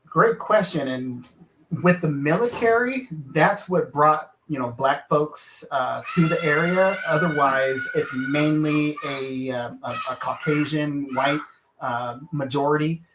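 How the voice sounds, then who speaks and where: A man from Kansas, a lawyer and author, answering interview questions in an earnest, halting way full of fillers and restarts. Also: on the high side, reverberant, noisy background.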